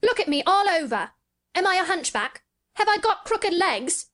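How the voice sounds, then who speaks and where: A proud young boy from a storybook, played by a woman. His voice is pitched well up high. He speaks grandly and commandingly, like someone used to being obeyed. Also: animated, moderate pace, fairly bright tone. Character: proud, imperious sickly boy giving orders.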